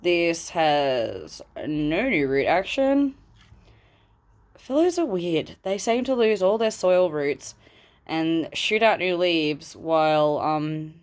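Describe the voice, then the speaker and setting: A woman with a low voice, stuffy and snuffly as if coming down with a cold. She chats loosely and rambles, talking fondly to her plants at home. It is captured through a phone camera. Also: sing-song, takes it slowly, darkish tone. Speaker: plant vlogger. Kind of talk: casual chat while handling plants. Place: at home indoors.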